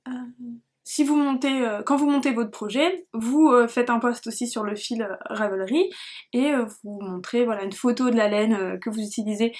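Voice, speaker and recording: A woman with a fairly high voice talks casually to her viewers as a podcast host. Her delivery is loose and scattered, with a self-deprecating streak, as she searches for where she was going. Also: moderate pace, darkish tone. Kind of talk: casual rambling vlog talk to viewers.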